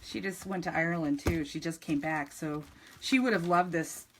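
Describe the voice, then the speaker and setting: A woman's voice: deep voice, normal speed. Woman, middle-aged, upstate New York accent, chatty live craft demonstrator, faint clicks and handling noise behind. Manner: chatty, enthusiastic, many ums and restarts. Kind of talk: live-streamed craft demo.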